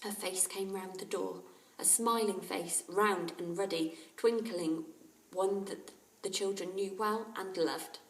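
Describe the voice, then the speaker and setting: A woman reading a children's story aloud in an expressive, animated narrator's style. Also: medium pitch, slow. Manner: animated character voices and exclamations. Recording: roomy echo, distant microphone.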